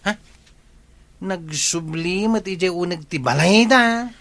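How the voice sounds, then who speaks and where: Easygoing village helper, fairly high bright voice, Ilocano accent, teasing, comedy radio drama. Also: male voice, slow pace, full character voice. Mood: teasing, cheerful. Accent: Ilocano Filipino.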